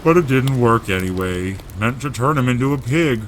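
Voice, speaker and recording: A man voicing a gruff, warm-hearted giant gamekeeper, blustery and loyal, in growling rustic dialect for a storybook audio drama. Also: mid-range voice, lively intonation, normal speed, background noise, close to an everyday voice. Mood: sheepish.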